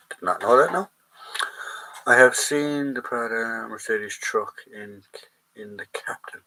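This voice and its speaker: Chatty Irish man, bright tone. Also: on the low side, creaky, low-quality recording. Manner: chatty, reads out viewer comments, trails off and restarts. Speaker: host of a live chat. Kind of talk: casual live-stream Q&A reading chat comments.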